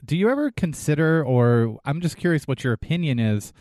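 Halting male interviewer, very dry sound. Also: on the high side, very animated, a little mellow, no echo. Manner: halting, searching for words. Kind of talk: conversational interview.

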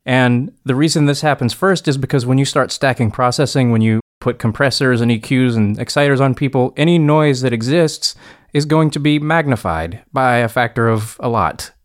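A man's voice: mid-range voice, normal speed. A man, an audio editor on a podcast, explaining his editing process in casual, self-deprecating chat.

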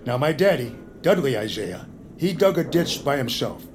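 A man's voice: mid-range voice, big pitch swings, normal speed, noisy background, close to an everyday voice. A man doing a rambling folksy storyteller.

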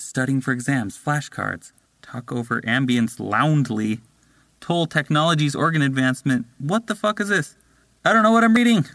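A man's voice: mid-range voice, dry recording. A man recording voice lines who trips over hard names, curses and tries again. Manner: lively, breaks off to curse and retry. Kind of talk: blooper outtakes, swearing at tricky words.

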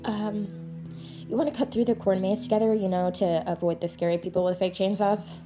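A woman's voice: medium pitch, fairly even intonation, a little fast, over music, lightly acted. An actress playing an earnest, awkward, defensive teenage girl in an audio drama. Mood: flustered, shy. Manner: flustered, hesitant.

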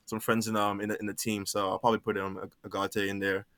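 A young man from Cameroon, raised in the United States, calling in to a casual football chat and talking in halting bursts full of fillers. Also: fairly low pitch, level tone, fairly bright tone, no echo, studio quality. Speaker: caller.

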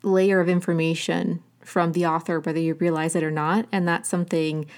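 Woman, interviewer, low-pitched, chatty, enthusiastic, complimentary. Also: level tone, fairly quick, clean tone. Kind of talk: interview questions and praise.

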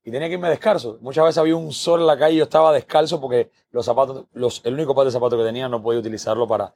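A man's voice: medium pitch, steady tone, racing, darkish tone. Earnest Cuban man telling his story. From Cuba. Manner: earnest, repeats words while building a point. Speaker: guest. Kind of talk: podcast interview, personal story.

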